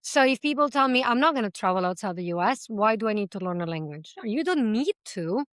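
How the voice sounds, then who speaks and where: A woman's voice: a little high, animated, a little fast, a bit bright, no echo, clean studio sound. A woman who founded a language school, answering interview questions in a passionate, talkative way and drawing on everyday examples. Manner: speaks several languages.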